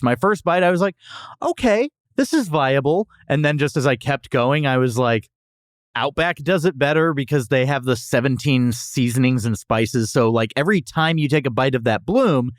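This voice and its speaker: Male podcast host, lively and opinionated, casual jokey food review chat, close dry sound. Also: mid-range voice, no room sound.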